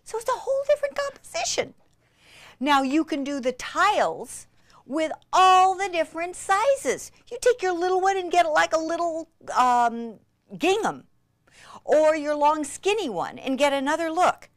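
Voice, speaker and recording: A woman giving an enthusiastic hands-on craft demonstration, gushing and excitable, with breathy gasps and drops into near-whispers. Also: very high voice, a little slow, no room sound, clean studio sound. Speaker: craft instructor.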